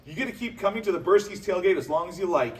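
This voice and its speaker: Male actor as eager, earnest boyfriend and football fan, high-voiced, animated, staged comedy read, picked up from a distance. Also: fast talker, a little hiss or hum. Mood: bold, challenging. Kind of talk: comedy table read before an audience.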